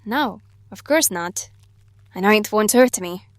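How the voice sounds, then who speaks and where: A woman's voice: mid-range voice, lively intonation, measured pace, no echo, studio quality, lightly acted. A woman acting in an audio drama as a mysterious, earnest maiden and fearless ally with cryptic, formal phrasing. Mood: gentle, curious.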